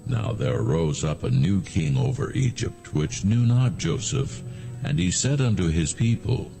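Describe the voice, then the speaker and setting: Male scripture narrator, heavy vocal fry, slow and solemn, with expressive rises and falls. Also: low-pitched, soft background music. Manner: measured, solemn storytelling.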